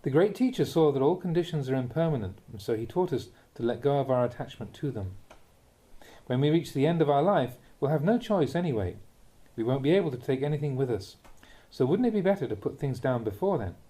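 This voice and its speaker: A man reading a Buddhist teaching aloud in measured, deliberate short phrases, in the manner of a spoken audiobook. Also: mid-range voice, lively intonation, mellow. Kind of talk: read-aloud dharma talk, audiobook narration.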